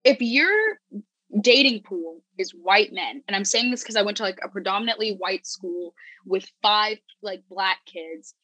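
A young woman chatting as a podcast guest, candid and animated, full of "like" and restarts. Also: medium pitch, a little slow, no room sound, poor audio. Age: young adult. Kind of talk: casual podcast chat.

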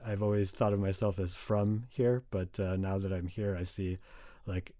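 A man's voice: fairly low pitch, mellow, no room sound, studio quality. A young man from the United States, a guest in a casual conversation, rambling with many fillers and restarts.

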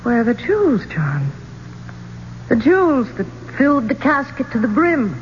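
A woman's voice: high voice, big pitch swings, measured pace. An actress playing an adventurous, brooding and curious woman trapped in a tomb, in theatrical, portentous 1970s radio drama style. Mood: wistful. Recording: dull, noisy old radio broadcast.

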